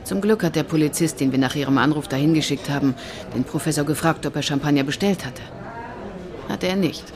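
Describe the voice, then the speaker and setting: An actor playing a brisk, matter-of-fact police inspector in a crime radio play. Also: medium pitch, a crowd in the background, natural voice. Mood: businesslike. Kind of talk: radio drama acting.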